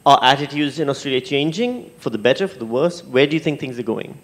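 A man moderating a panel on stage, conversational and halting, repeating words as he thinks aloud into a handheld microphone. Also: mid-range voice, animated. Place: stage in an auditorium with audience.

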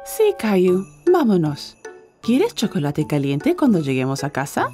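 A woman voicing a kindly, cheerful young mother in a children's cartoon, gentle and expressive. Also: on the low side, measured pace, soft background music.

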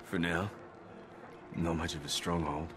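An actor playing a stoic, hardened wasteland driver in a video game, blunt, clipped and deadpan. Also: male voice, sits low, unhurried, noisy background. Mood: guarded. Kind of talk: video game character acting.

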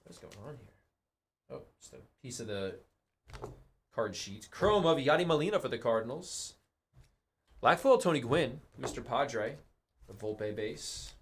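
A man's voice: mid-range voice, measured pace, long pauses, a little hiss or hum. Casual man calling out cards. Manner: casual, calls out cards, excited on big hits. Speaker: card break host. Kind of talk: live card break commentary. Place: studio with card boxes.

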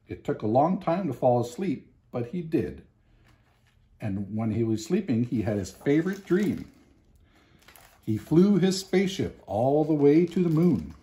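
Man, fifties, warm dark tone, medium pitch, expressive children's storytelling, deliberate phrasing. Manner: expressive, deliberate with emphatic pauses. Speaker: storybook reader. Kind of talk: children's picture book read-aloud. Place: home living room, indoors.

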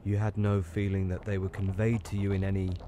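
A man voicing a troubled, lonely railway signalman who speaks low and gravely in an audiobook. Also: flat delivery, brisk, background noise, lightly acted. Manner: low, hushed, grave speech. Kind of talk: audiobook character reading.

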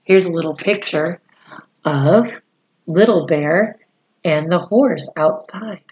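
A woman in her forties reading a storybook aloud to children, deliberate and pausing phrase by phrase, with explanatory asides. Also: fairly low pitch, a little mellow, muffled recording. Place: small room at home, indoors.

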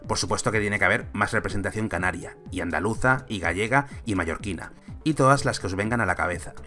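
Sarcastic low-voiced Spanish man. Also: fast, bright, forceful tone, faint music behind. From Spain. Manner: sarcastic, argumentative. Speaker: YouTube film commentator. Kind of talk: opinion vlog.

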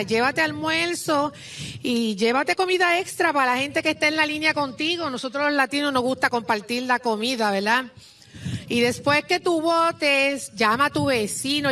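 A woman's voice: high voice, sharp tone. A middle-aged woman from Puerto Rico with a Puerto Rican Spanish accent, giving an impassioned political speech at an outdoor podium mic. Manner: impassioned, exhorting the crowd. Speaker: rally speaker.

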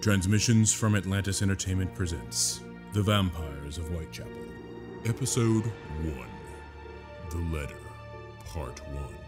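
Male announcer for scripted podcast intro, low register, music clearly underneath. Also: unhurried.

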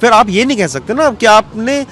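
High-voiced man talking earnestly in an interview. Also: animated, normal speed, a bit bright. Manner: mixes English words and phrases into speech. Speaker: interview guest, cartoonist. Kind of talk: casual, earnest discussion. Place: outdoors in an open courtyard. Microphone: handheld small microphone. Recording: faint outdoor street background noise.

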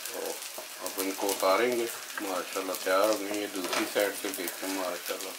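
A man's voice: low voice, little pitch movement, normal speed, heavy background noise. A middle-aged man, explaining a recipe step by step in a casual, friendly way in his home kitchen. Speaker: home cook. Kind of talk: casual cooking vlog. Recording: echoey kitchen.

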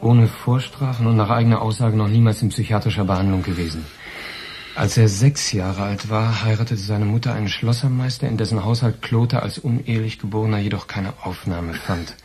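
An actor playing a dry, ironic, officious police detective in a radio crime drama, with a husky voice. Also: male voice, lowish voice, a little fast, darkish tone, a little hiss or hum, lightly acted. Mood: officious reading. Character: sardonic male police detective questioning a witness. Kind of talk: 1980s German radio crime drama acting.

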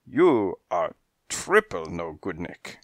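A man's voice: lowish voice, big pitch swings, dry recording, clean studio sound, full character voice. A man voicing a theatrical, touchy female cat fortune-teller who speaks broken English with grand drama. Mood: indignant. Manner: broken English, dramatic, quick to take offence. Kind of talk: storybook character voice.

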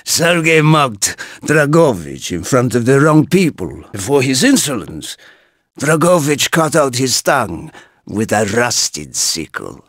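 A battle-hardened Russian rebel leader for a video game. He is fierce and rousing, with a gravelly grain in his voice. Every phrase is weighed out slowly and driven home with emphasis. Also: medium pitch, very animated, fairly bright tone, very echoey, big-room sound, recorded from a distance, heavily acted. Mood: grave, solemn. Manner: slow, emphatic, rousing delivery. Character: fierce Russian soldier prisoner leading a revolt.

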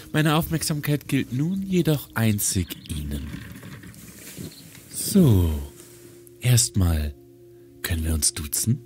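Guy acting cool detached shrink in a radio play, low voice, brisk and clinical. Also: a little mellow, slight background noise. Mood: courteous. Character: brisk, detached male psychiatrist, coolly clinical. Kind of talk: German audio drama acting.

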